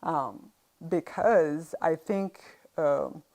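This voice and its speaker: A middle-aged woman with an East African accent shares her story in an interview. Her voice sits very low and crackles with vocal fry. She speaks conversationally, stopping often to find her words. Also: lively intonation, extremely slow, darkish tone. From Uganda. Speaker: interview guest sharing a health experience. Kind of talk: conversational personal testimony.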